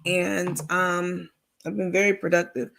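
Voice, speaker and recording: A woman with a raspy voice hosting a casual livestream, chatting informally with viewers and reading out their comments. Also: lowish voice, flat delivery, a little slow, fairly bright tone. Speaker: livestream host.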